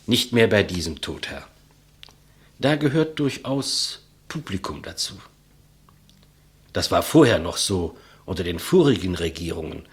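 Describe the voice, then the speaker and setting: An actor playing a humble, despised executioner in a 1950s German radio play, deferential, plain-spoken and reflective. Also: male voice, deep voice, sing-song, a little slow, pauses often, husky, heavily acted. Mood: earnest, reminiscing. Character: humble, despised old-school male executioner, quietly matter-of-fact. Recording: muffled vintage 1959 radio recording.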